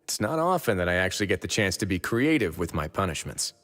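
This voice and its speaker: An actor playing a calm, wry, quietly threatening duke who runs a prison fortress in a video game. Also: male voice, deep voice, fast. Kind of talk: English video game voice acting. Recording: echoey, game music underneath.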